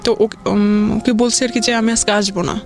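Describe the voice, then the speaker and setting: A young woman casually telling a personal story as a radio guest, in a hesitant, halting way. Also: mid-range voice, steady tone, music in the background. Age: young adult.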